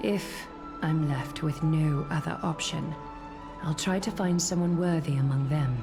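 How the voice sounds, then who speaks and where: A woman playing a solemn, regal leader, speaking in a measured, formal, composed way in scripted fantasy game dialogue. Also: low-pitched, music in the background. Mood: grave.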